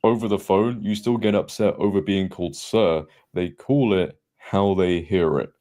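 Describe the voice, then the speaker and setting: A man with a very deep, dark and mellow voice narrates a scripted commentary video. His delivery is sarcastic and mocking throughout. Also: moderate pace. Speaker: commentary channel host.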